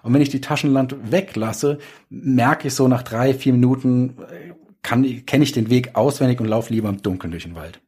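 A man speaking German casually in a discussion, halting and rephrasing as he explains himself. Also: medium pitch, a little mellow, breathy edge. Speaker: thriller author on a panel.

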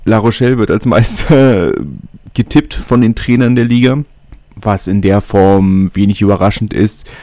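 A man's voice: lowish voice, steady tone, a little slow, warm tone, dry recording. A man hosting a solo podcast, talking casually and thinking aloud with frequent hesitations and fillers. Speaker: podcast host.

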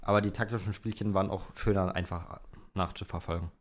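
Young man, slightly deep voice, podcast co-host, casual chat, colloquial, easygoing. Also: steady tone, quick pace. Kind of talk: casual chat answering listener questions.

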